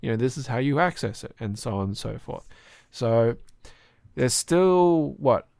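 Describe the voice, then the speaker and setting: Male podcast co-host, hoarse from a sore throat, casual and rambling, gravelly and dry. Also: mid-range voice, animated, unhurried, darkish tone, no echo. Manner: chatty, rambling with fillers.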